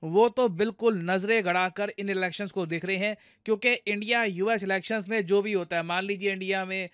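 High-pitched, clear Indian man explaining news. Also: steady tone, a little fast, a bit bright, no room sound, clean studio sound. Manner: conversational, explains step by step, addresses viewers directly. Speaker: news commentator, video host.

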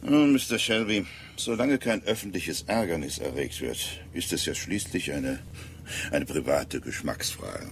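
An actor playing an authoritative, deliberate ship's captain in a German radio drama, with hesitant pauses. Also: male voice, low-pitched, expressive, slight background noise. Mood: dry, measured. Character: gruff, measured male British ship's captain.

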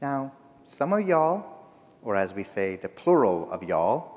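A middle-aged man whose first language is not English, preaching from the pulpit with humor and stories. He talks slowly, his pitch rising and falling a great deal. His voice carries a long reverb through the church sanctuary. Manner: storytelling, humorous, expressive. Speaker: guest preacher. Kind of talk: sermon.

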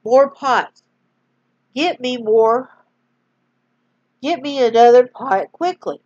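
A woman's voice: fairly high pitch, slow, no room sound. Woman voicing a poor widowed mother. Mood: urgent. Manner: urgent, pleading. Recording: dull lo-fi dry recording.

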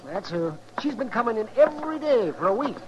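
Crotchety frontier physician, wry and blustery, high-pitched, noisy vintage radio western. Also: male voice, sing-song, fairly quick, highs cut off. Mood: grumbling, amused. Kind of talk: 1950s radio western acting. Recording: noisy 1950s radio recording.

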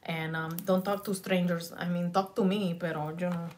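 A woman in her thirties chatting loosely on a beauty livestream, swearing freely, dropping in Spanish words, her slightly raspy voice going in and out. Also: on the low side, steady tone, moderate pace, very noisy. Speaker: beauty vlogger. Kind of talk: rambling casual livestream chat. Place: small home beauty room, indoors.

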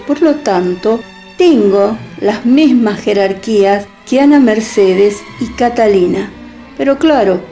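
A woman playing a proud, sharp-tongued young woman in a radio drama, with a Rioplatense Spanish accent. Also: a little high. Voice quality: cool, ironic, measured. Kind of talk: online radio drama acting. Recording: faint music underneath.

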